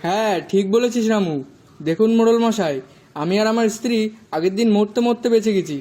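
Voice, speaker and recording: Male actor as anxious village man, rapid delivery, high pitch, deferential, pleading, cartoon folk tale. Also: dark tone, close to an everyday voice. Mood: earnest, worried. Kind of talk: Bengali animated folk-tale dialogue.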